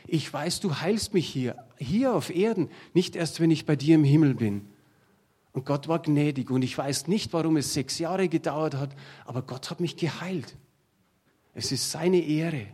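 A man's voice: fairly high pitch, darkish tone, breathy edge. A middle-aged man preaching a sermon to his congregation, talking in a plain conversational way and drawing on everyday examples. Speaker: pastor.

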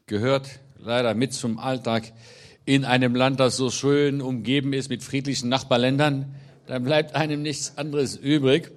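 A man's voice: mid-range voice, little pitch movement, normal speed. Chatty middle-aged man addressing a congregation. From Israel. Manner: chatty and joking, with frequent hesitations. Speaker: guest speaker at a church service. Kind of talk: casual talk to a congregation. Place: meeting hall.

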